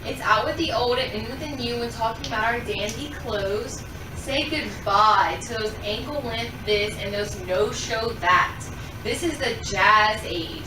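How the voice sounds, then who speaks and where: A young woman acts out a chatty 1920s radio fashion reporter. Her voice is high and exclamatory, recorded at a distance in an echoey room with music behind it. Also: unhurried, background noise, lightly acted. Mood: gushing. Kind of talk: amateur 1920s radio show acting.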